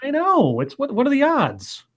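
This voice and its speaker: A man in his late thirties co-hosting a casual online chat show, reading viewer comments aloud with dry, deadpan reactions. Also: extremely high pitch, normal speed. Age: late 30s.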